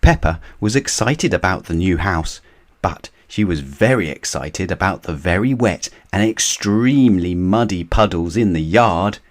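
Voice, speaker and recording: A man reading a children's picture book aloud in a gentle, measured bedtime manner. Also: low-pitched, expressive. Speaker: reader of children's books.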